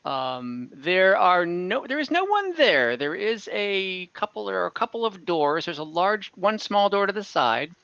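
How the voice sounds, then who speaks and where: A man acting as game master, casually narrating a scene to friends and feeling for his words in a halting way, over an online voice chat. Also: high voice, very animated, sharp tone, clear, resonant tone, no room sound, highs cut off. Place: online group voice call.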